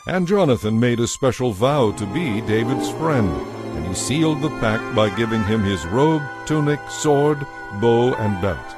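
A man narrating a dramatized scripture story in a measured storytelling manner. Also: medium pitch, animated, over music.

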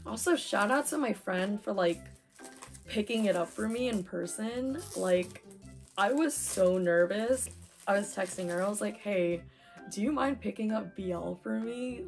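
Young woman, hoarse, gravelly, chatty, faint music behind. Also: mid-range voice. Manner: chatty, excitable, lots of fillers. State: hoarse from talking too much. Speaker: manga unboxing vlogger. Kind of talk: casual unboxing chat.

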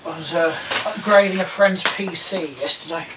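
A man's voice: sits high, moderate pace. A middle-aged man with an English accent gives a casual hands-on tutorial, rambling and thinking aloud in a small indoor workroom. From England. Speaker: host of a DIY electronics video. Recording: distant mic, some background noise.

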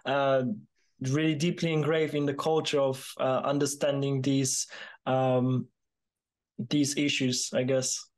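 A man in his twenties from Poland with a Polish accent, interviewing conversationally on a video call in halting phrases. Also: medium pitch, flat delivery. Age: 20s. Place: small room, indoors, video call.

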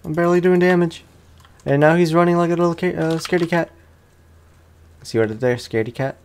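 A man's voice: fairly high pitch, quick pace, a little mellow, smooth. Playful, chatty man doing casual gaming commentary. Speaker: gamer, let's play host.

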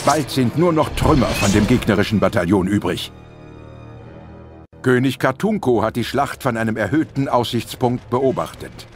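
A man's voice: medium pitch, expressive, normal speed. A man narrating an audio drama in a dramatic, scripted style. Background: music.

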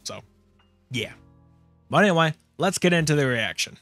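Male reaction streamer, slightly high register, informal self-deprecating chat over light background music. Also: animated, moderate pace, a bit bright. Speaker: streamer, reaction video host. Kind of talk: casual chat to camera.

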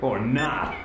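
An actor playing a gleeful, theatrical pyromaniac villain in an audio drama, taunting and grandiose. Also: male voice, on the high side, background noise, heavily acted.

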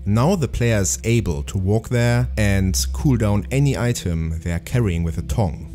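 A man narrating his game development log in a calm, methodical, explanatory way. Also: lowish voice, moderate pace, faint music behind. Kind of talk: scripted devlog narration.

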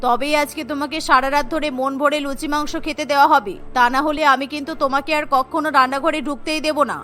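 A woman's voice: sits high, steady tone, fast talker, bright, forceful tone, faint music behind, pitched below the performer's own voice. A woman voicing a middle-aged mother-in-law, firm but indulgent, in a cartoon. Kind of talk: Bengali cartoon voice acting.

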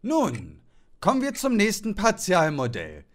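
A man's voice: high voice, wildly swooping pitch, measured pace, exaggerated voice. A man playing a college lecturer who drones formally through theory in a fan audio drama. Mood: dry, formal.

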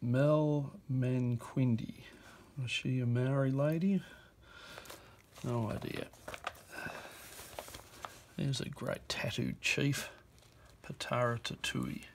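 A man presenting an auction lot, reading postcard captions aloud in short, unhurried bursts at a desk. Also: mid-range voice. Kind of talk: reading out postcards while browsing. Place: indoor office desk.

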